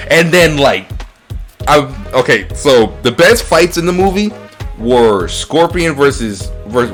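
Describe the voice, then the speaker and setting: A man's voice: mid-range voice, fairly bright tone. A man chatting casually and profanely as a podcast co-host, rambling and repeating his phrases. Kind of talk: casual, profane chat about movies.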